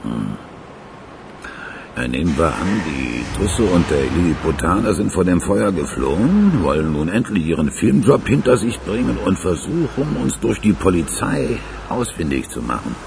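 An actor playing a cocky, callous killer in a German radio crime drama, defensive and matter-of-fact about murder. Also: male voice, sits low, big pitch swings, normal speed, vocal fry, background noise, exaggerated voice. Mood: scheming. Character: cocky, callous male killer in a criminal gang.

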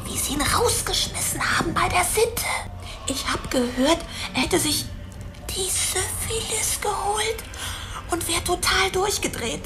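An actress playing a worldly but intimidated witness, confiding hesitantly and colloquially in a radio drama. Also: female voice, very high-pitched, a little slow. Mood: confiding, uneasy. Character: worldly, intimidated female witness telling of abuse. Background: music.

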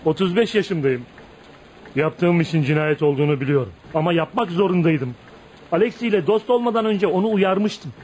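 A man's voice: high-pitched, normal speed, a little mellow, close to an everyday voice. An actor playing a bitter, tormented outcast man of thirty-five in a radio play, with dramatic, emotional delivery. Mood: defiant, vengeful. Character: tormented, bitter 35-year-old outcast man, brooding and volatile. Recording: noisy radio drama recording.

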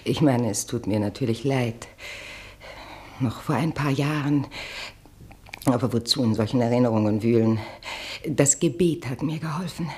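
Tiefe, nervös ausweichende Ehefrau. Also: female voice, extremely low pitch, mellow. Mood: self-righteous. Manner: halting, clipped, dropping into breathy asides. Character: Anna, nervous, evasive, pious married woman, coolly self-righteous. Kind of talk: 1960s German radio drama acting.

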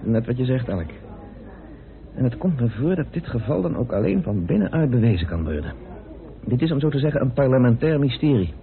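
An actor playing a composed, well-informed British parliamentarian turned sleuth in a Dutch radio play, explaining things in a measured, deliberate way. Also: male voice, mid-range voice, sing-song, vocal fry. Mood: pondering. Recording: narrow, phone-like old radio recording.

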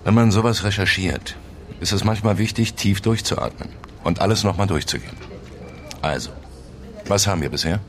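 A man's voice: sits low, moderate pace, crowd noise, lightly acted. A man playing a seasoned, dry investigative reporter in a naturalistic radio drama, curt and probing. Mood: instructive.

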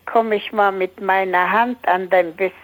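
A woman chats over the telephone as a chat line worker. She takes her time, speaking slowly in a casual, familiar manner, and the sound is dry with no room around it. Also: mid-range voice, steady tone, no echo.

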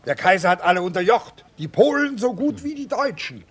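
A male army chaplain with a high, rough-edged voice. He is pious and pompous, preaching at others in a theatrical radio drama manner. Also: big pitch swings, brisk, fairly bright tone, full character voice. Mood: pompous, righteous.

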